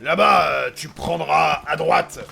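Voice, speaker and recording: A man playing a brash, drunken, brawling pirate captain with a gravelly voice in a comic fantasy audio drama. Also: fairly high pitch, takes it slowly, pushed and bright, full character voice. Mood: surly, insulting. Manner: brash, insulting, slangy. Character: gruff, foul-mouthed, brawling drunken male pirate captain.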